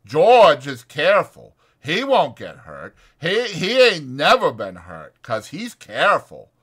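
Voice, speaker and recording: A man voicing a big, slow-witted, trusting and anxious ranch hand in a dramatized audiobook, talking loudly, simply and with stubborn earnestness. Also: fairly high pitch, wildly swooping pitch, breathy edge, pitched above the performer's own voice, exaggerated voice. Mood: worried, insistent.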